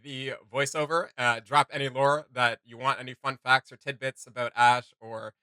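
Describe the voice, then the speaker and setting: A man's voice: mid-range voice, flat delivery, normal speed, bright, forceful tone, clean tone, no room sound. A man hosting a reaction video, chatting in an informal, rambling way. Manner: rambling, giggly, informal, many "like" and "you know". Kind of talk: casual reaction chat.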